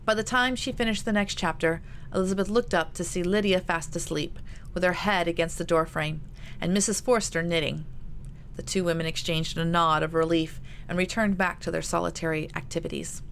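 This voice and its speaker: A woman's voice: on the low side, steady tone, fairly quick, gravelly, a bit bright. A woman author reading her own historical novel aloud in an audiobook narration style. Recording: noticeable background noise.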